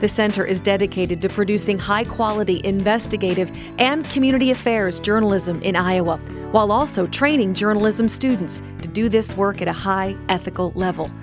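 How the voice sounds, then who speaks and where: A woman's voice: mid-range voice, brisk, few pauses. A woman reading a scripted station announcement in a polished, formal way. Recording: announcement with music bed.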